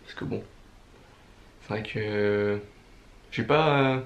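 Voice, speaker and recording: A young man from Brittany who talks hesitantly with many pauses while co-hosting a casual vlog. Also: fairly low pitch, vocal fry, echoey room, lo-fi sound. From Brittany, France. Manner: hesitant, many fillers, understated. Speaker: vlog co-host. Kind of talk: casual couple vlog chat.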